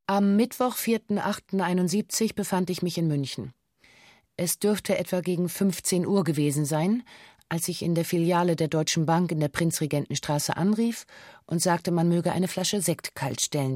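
An actress playing a young former bank clerk who gives a formal, matter-of-fact witness statement in a radio drama. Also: female voice, low-pitched, darkish tone, no room sound, studio quality. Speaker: actor in a radio documentary drama. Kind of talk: scripted first-person testimony read aloud.

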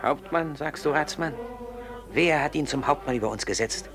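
A man as a gravelly, scheming robber. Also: a little high, moderate pace, music in the background, natural voice. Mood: conspiratorial. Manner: conspiratorial, insinuating. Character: scheming, envious robber plotting mutiny. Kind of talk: classic German radio drama acting.

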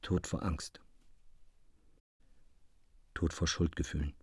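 An actor playing a guilt-ridden, embittered air traffic controller in a radio drama monologue, brooding and deliberate. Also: male voice, extremely low pitch, fairly even intonation, darkish tone, husky, no echo, exaggerated voice. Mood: resigned despair. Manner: brooding, deliberate, literary phrasing.

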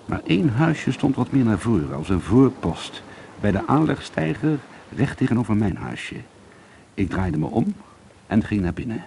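A man's voice: medium pitch, big pitch swings, moderate pace, creaky, mellow. An actor playing a troubled, bitter schoolteacher and painter in a radio drama, hesitant and confiding, repeating himself as he broods. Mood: reflective narrating. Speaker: lead actor and first-person narrator. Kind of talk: radio drama monologue and dialogue. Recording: noisy old radio play recording.

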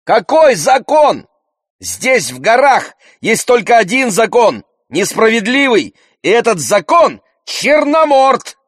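A hot-headed young boy in an audiobook, acted out by a man. The voice leaps high and loud, indignant and insistent, with a vengeful edge. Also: sing-song, slow, breathy edge, dry recording, studio quality, exaggerated voice. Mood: outraged. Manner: shouting, insistent, outraged. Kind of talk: audiobook character voice.

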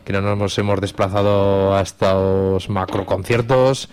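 A man with an accent from Spain co-hosting a radio show in casual, halting phrases on a studio microphone. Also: deep voice, flat delivery, darkish tone, a little hiss or hum. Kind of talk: casual live radio chat.

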